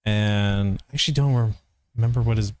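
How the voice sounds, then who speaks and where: Man, casual streamer commentary, hesitant and rambling, smooth clean voice, dry close-mic sound. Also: fairly low pitch, brisk, a little mellow, no room sound. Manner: hesitant, rambling, thinking aloud. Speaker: voice actor reviewing a fandub.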